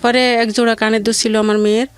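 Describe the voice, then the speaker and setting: A woman telling her life story in a plaintive, rambling way with halting repeats. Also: fairly high pitch, little pitch movement, clear, resonant tone. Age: adult mother of two. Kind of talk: personal hardship testimony in conversation.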